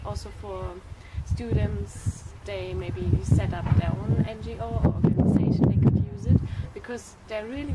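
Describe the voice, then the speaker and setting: 18 yo german girl volunteering, eager, hunts for words, noisy recording. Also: medium pitch. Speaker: civic service volunteer. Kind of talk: conference interview answers. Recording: loud background noise.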